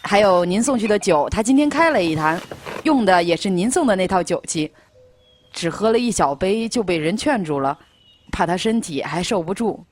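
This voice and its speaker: A woman's voice: mid-range voice, lively intonation, normal speed, natural voice. A woman voicing a fretful, caring attendant to an immortal prince in a Chinese audio drama. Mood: reporting gently.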